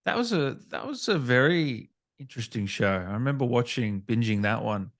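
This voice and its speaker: A man's voice: mid-range voice, lively intonation, moderate pace. A man hosting a gaming channel interview in a casual, conversational style.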